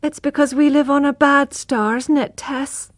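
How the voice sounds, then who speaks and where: A woman voicing an eager, curious young boy who asks high, excited questions. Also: normal speed, no echo, lightly acted. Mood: tearful.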